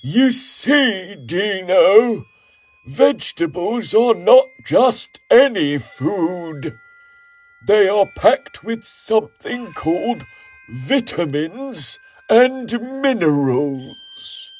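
A man voicing a wise, kindly old male Triceratops in a children's storybook, speaking slowly and instructively. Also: sits high, huge pitch swings, darkish tone, exaggerated voice. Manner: slow, lofty and instructive.

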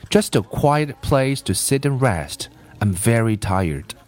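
Man reading as a needy, discontented storybook boy, lively pitch. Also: slow. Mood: weary. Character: needy, discontented boy who grows old and tired. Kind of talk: storybook character voice.

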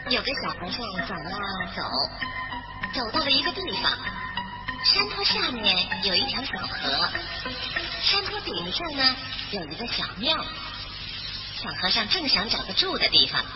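A woman storyteller narrating a scripted children's bedtime tale in an expressive style. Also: on the high side, normal speed, pauses often. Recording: dull roomy recording with music behind.

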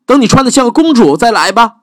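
A man's voice: very high-pitched, big pitch swings, fast, no room sound, clean studio sound, pitched above the performer's own voice. A man voicing a vain, fussy young prince in a children's story, speaking in a sniffy, scolding way. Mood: snooty.

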